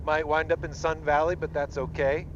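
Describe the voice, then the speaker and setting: A man's voice: on the high side, little pitch movement, moderate pace. A man giving playful, chatty running commentary like a field reporter while walking outdoors with a headset. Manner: chatty, mock weatherman and reporter delivery. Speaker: friend helping test headsets. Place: outdoors walking along a city street. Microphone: wireless intercom headset. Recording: dull intercom headset, faint traffic noise.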